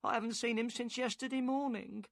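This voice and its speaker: A man's voice: very high-pitched, fairly even intonation, fairly quick, gravelly, a bit bright, no echo, clean studio sound, pitched above the performer's own voice, full character voice. A man voicing a worried, tearful middle-aged wife of a boatman, who answers deferentially and keeps calling him sir. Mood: anxious. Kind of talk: audiobook character voice.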